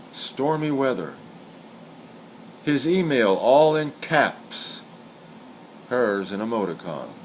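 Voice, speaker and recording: A man giving a scripted literary reading of short poems and commentary, reciting in a deliberate, measured way. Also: medium pitch, poor audio. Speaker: poet reading aloud. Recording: steady background hiss or hum.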